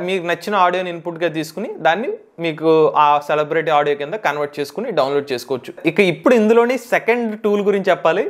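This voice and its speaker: A man hosts a tech tutorial, explaining software step by step and repeating key phrases. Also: a little high.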